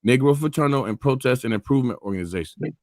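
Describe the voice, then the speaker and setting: Animated man, dry close sound. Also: mid-range voice, quick pace, no room sound. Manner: animated, laughs between points. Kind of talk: casual conversation and reading aloud.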